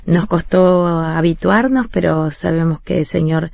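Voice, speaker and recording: A Uruguayan woman with a Uruguayan Spanish accent, a guest on a radio interview, speaking softly and hesitantly with many restarts. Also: lowish voice, a little slow, creaky, no room sound. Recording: muffled, dry sound with faint music.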